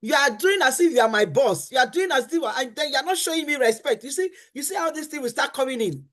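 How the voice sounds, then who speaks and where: A woman's voice: high-pitched, lively intonation, fast, a bit bright. A middle-aged woman on a faith-based talk show, teaching about marriage. She talks in an earnest, emphatic way, repeats her key phrases, and acts out what spouses say.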